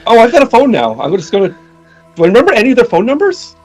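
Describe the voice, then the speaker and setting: A man's voice: very high-pitched, huge pitch swings, rapid-fire, a little mellow. A man playing a tabletop game, casually describing what his character does. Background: faint music.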